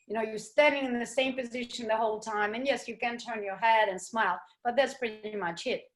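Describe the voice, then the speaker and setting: A middle-aged woman, speaking in a slightly high voice. She tells her story as an interview guest in an animated way. She acts out what others said to her. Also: a little fast. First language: Russian. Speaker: guest, ballroom dancer. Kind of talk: casual interview chat.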